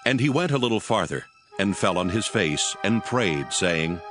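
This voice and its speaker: Low-voiced solemn male scripture narrator. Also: lively intonation. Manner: solemn, measured storytelling. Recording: music behind the voice.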